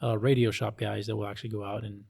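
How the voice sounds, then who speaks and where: A man's voice: lowish voice, level tone, fast, gravelly, darkish tone. A middle-aged man talking casually as a podcast host, informal and plain-spoken. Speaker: podcast host, police officer.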